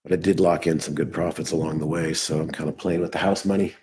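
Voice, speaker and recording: A man hosting a live trading show, thinking aloud as he works, his voice hoarse and fading. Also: a bass voice, fairly even intonation, fast, background noise. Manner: thinking aloud while working on screen.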